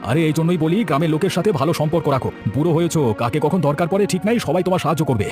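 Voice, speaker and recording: A man's voice: on the high side, racing, music in the background, close to an everyday voice. A man voicing a helpful, frank village man in a cartoon story, giving reassurance and preachy advice. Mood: reassuring. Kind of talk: Bengali cartoon story voice acting.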